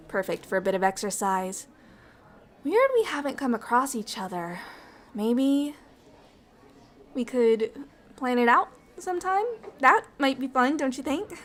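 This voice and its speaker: Casting: woman as friendly alien diplomat for ASMR roleplay, somewhat high voice, bubbly, talkative, fond and eager to please. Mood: bubbly, warm. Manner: bubbly, chatty, apologetic, excitable. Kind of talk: ASMR roleplay, chatty and intimate.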